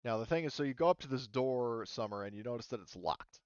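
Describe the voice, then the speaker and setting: A man acting as game master, casually explaining a tabletop roleplaying game in a halting way. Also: mid-range voice, lively intonation, clean tone, dry recording, dull audio.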